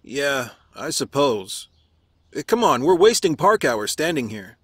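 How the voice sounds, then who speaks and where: A man's voice: mid-range voice, sing-song, unhurried, fairly bright tone, husky, dry recording, studio quality, full character voice. A man playing a laid-back, apologetic family man in an audio drama. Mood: casual.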